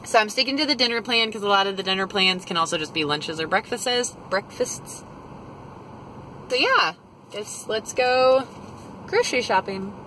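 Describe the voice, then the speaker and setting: A young woman talks into a phone camera held at arm's length, rambling along with plenty of fillers. Her voice is bright and clear, and a faint hum sits under the recording. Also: medium pitch, lively intonation, normal speed, low-quality recording. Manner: chatty, rambling, lots of fillers. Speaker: vlogger. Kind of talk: casual grocery haul vlog. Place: parked car, then a home kitchen.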